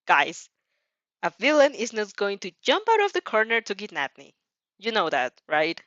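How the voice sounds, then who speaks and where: A woman's voice: medium pitch, huge pitch swings, moderate pace, a bit bright, no room sound. Nervous, stubborn schoolgirl, acted by a woman, hesitant and defensive, dry, slightly dull sound. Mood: exasperated. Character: nervous, defensive teenage girl student, stammering and stubborn. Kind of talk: amateur fan fiction character reading.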